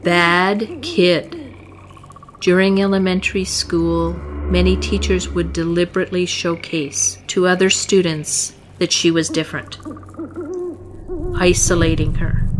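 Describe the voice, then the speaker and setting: A woman narrating a scripted story for a short film in a measured, solemn way. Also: fairly low pitch, a little hiss or hum.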